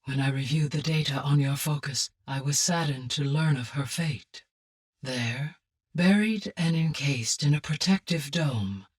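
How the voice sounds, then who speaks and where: A female artificial intelligence from a video game, calm and caring. The voice is low for a woman and husky, slightly set back from the mic. Each sentence is formal, precise and evenly measured. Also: recorded from a distance, heavily acted. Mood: gentle, saddened. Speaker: game character, AI adviser. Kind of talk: scripted video game dialogue.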